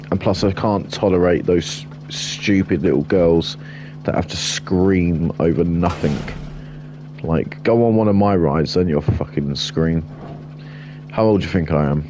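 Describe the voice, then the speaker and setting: A man with a very deep, low voice talks slowly through a game, drifting into blunt, rambling asides as he builds. Steady noise hisses under his voice the whole time. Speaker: gaming streamer. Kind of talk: casual gameplay commentary.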